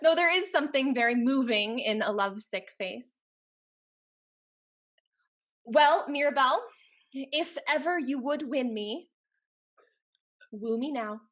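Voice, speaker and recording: An actress playing a capricious, teasing young society heiress in a Restoration stage comedy, with a haughty, playful, theatrical delivery. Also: female voice, high voice, lively intonation, slow pace, pauses often, fairly bright tone, full character voice.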